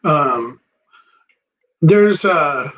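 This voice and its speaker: Man, higher-pitched voice, easygoing host, rambling, filler-heavy casual chat. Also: drawn-out words, muffled recording. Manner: relaxed, rambling, many ums. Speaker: record store host. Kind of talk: casual chat about vinyl records.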